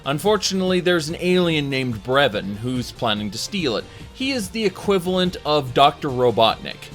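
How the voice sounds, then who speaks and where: A man with a fairly high voice reviews a video game over background music. His delivery is informal and conversational, with emphatic phrasing and dramatic pauses. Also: very animated, fairly bright tone. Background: music under the voice.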